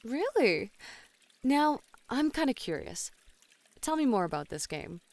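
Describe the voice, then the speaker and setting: A woman playing a flirty, playful bunny woman in an ASMR roleplay, teasing and coy with soft sighs. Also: a little high, big pitch swings, unhurried, no echo. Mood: friendly, teasing. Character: flirty, playful bunny woman, a model relaxing in a hot spring. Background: pouring, trickling water.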